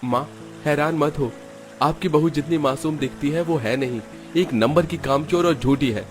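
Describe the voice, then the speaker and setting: A man's voice: fairly high pitch, normal speed, faint music behind, pitched above the performer's own voice, close to an everyday voice. A man voicing an exasperated younger son who complains emphatically about his wife in a story. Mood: exasperated complaint.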